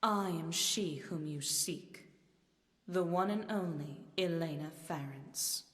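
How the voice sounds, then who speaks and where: A woman's voice: lowish voice, slow pace, a bit bright. An actress playing a bossy, teasing woman seer in a video game, with imperious, archaic and condescending phrasing. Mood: haughty, commanding. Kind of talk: video game cutscene acting. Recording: long reverb over game music.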